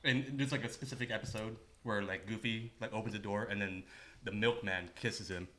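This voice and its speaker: A man's voice: lowish voice, fairly even intonation, fairly quick, pushed and bright. A man chatting casually as a podcast co-host, joking with friends in slangy, profane talk. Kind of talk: casual banter between friends.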